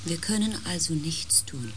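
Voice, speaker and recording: An actress in a German radio play, playing a sober, uneasy crew member of a space expedition in a grave, restrained manner. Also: female voice, deep voice, lively intonation, unhurried, fairly bright tone, slight background noise. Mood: resigned.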